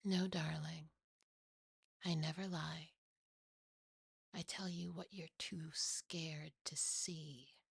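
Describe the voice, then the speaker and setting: Lady doing a creepy possessive demon, hushed hissy whisper, really slow and cruel, super close dry sound, horror asmr. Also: deep voice, fairly even intonation, no echo, full character voice. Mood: cold mocking. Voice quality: hushed, breathy, hissing. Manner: slow, taunting, seductively cruel.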